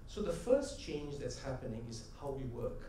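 A middle-aged man giving an animated keynote talk on stage with a headset mic, telling stories and asking rhetorical questions. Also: medium pitch, normal speed, a little hiss or hum. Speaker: conference speaker. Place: large conference hall stage. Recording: echoey hall, heard from a distance.

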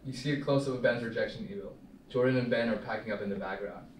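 A young man reads stage directions as narrator at a table read, in a medium-pitched voice. He is recorded from a distance in a very roomy space with faint noise. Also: fast. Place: small meeting room, indoors.

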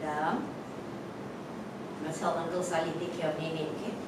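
A calm, gently teasing mother, played by a woman. She sounds far from the mic in an echoey theatre, with noise under her voice. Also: low voice, fairly quick, close to an everyday voice. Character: Malay mother, gentle, teasing, set on travelling. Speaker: actor in a staged reading. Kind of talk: staged play reading. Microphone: far camera, no visible mic. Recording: echoey hall from far off, noisy.

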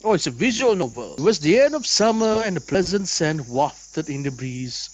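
A man's voice: fairly high pitch, very animated, normal speed, a little mellow, slight background noise, rough recording. A young man reads a visual novel aloud as a let's play host, with dramatic expression and asides.

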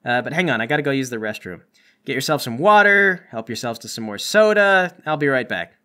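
A man's voice: medium pitch, lively intonation, brisk, bright, forceful tone. A man running a tabletop roleplaying game, narrating and bantering in an exasperated, sarcastic way. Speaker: game master.

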